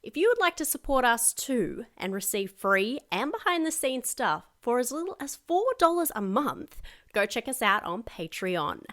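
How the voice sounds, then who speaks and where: A young woman hosting a casual interview over a video call, chatty and quick to laugh. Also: a little high, big pitch swings, a bit bright. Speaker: host.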